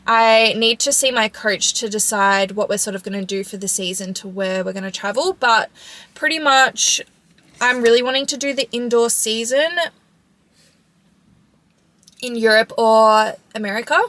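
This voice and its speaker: A woman's voice: on the high side, normal speed, a bit bright. A woman in her mid twenties with an Australian accent, chatting casually in a vlog Q&A, informal, with frequent "like" and trailing phrases. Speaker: vlogger and sprinter answering audience questions.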